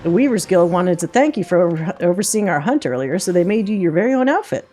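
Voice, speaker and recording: A woman's voice: on the low side, expressive, quick pace, mellow. A woman voicing a folksy, confident hunter mentor from a fantasy game, with plain rustic phrasing, over music. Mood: easygoing. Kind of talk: reading game dialogue in character.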